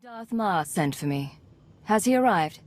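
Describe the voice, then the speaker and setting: An actress playing a cool, defiant intelligence agent in a video game, with a composed, clipped, skeptical delivery. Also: female voice, medium pitch, expressive, unhurried, darkish tone, natural voice. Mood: businesslike. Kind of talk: dramatic video game acting.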